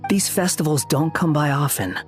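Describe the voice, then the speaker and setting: Aloof male loner for a game fan dub , man performer: dry, curt, lightish pitch. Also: expressive, moderate pace, soft background music, lightly acted. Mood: dry, dismissive. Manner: dry, dismissive. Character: aloof, dry, solitary young male scholar. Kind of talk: amateur fan voice-over of game dialogue.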